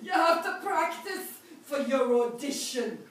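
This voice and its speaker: A woman's voice: high-pitched, expressive, measured pace, slight background noise. A woman playing a doting, long-suffering, dying mother in a comic radio play, plaintive and melodramatic. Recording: very echoey live room, distant mic.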